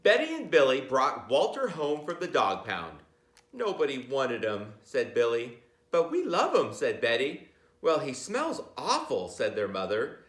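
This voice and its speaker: A man's voice: medium pitch, wildly swooping pitch, a little slow, a bit bright, roomy sound. A middle-aged American man reading a children's book aloud with chatty asides, playful and theatrical, drawing words out for suspense.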